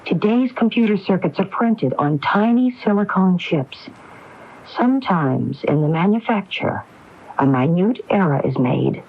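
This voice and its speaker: An actress playing a determined, grieving woman who questions and accuses deliberately in a 1980s radio drama. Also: fairly low pitch, animated, breathy edge, exaggerated voice. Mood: accusing, grieved. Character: determined grieving woman confronting her brother over fraud. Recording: muffled 1980s AM radio broadcast tape.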